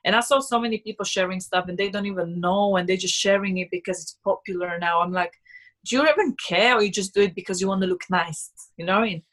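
Bright-voiced young Slovak woman, earnest and emphatic. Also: mid-range voice, fast. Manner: earnest, emphatic, repeats words. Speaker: guest, business owner. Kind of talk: casual personal conversation.

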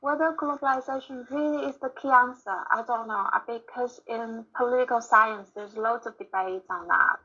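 A woman's voice: fairly high pitch, fairly even intonation, normal speed, hardly any pauses, sharp tone, breathy edge, dull audio. A woman from Taiwan, an assistant professor, answering interview questions thoughtfully with frequent fillers.

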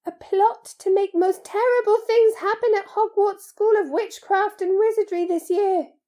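A woman voicing a small, squeaky, breathy male house-elf in an audiobook, servile, fearful and devoted, pleading in the third person. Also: fairly even intonation, measured pace, warm tone.

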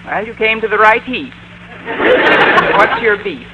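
A woman's voice: mid-range voice, animated, a little slow, highs cut off, over a noisy crowd, natural voice. An actress playing a sardonic, wisecracking woman schoolteacher in an old-time radio comedy, with dry delivery. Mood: dry, wry. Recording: noisy vintage radio recording.

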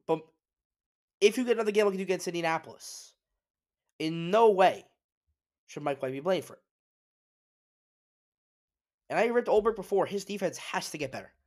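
Man, congested, sniffly, fairly high voice, opinionated solo sports talk. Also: animated, normal speed, long pauses, a little mellow. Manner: opinionated, emphatic, restarts often. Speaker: sports podcast host.

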